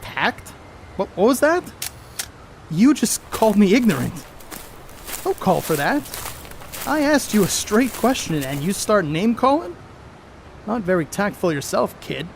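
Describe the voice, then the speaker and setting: A man's voice: high-pitched, big pitch swings, a little slow, vocal fry, long pauses, slight background noise, full character voice. A man playing a boastful, crude star ballplayer in a 1930s stage play, cocky and needling in folksy slang. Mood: indignant, insistent. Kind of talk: amateur stage play acting, 1930s period dialogue.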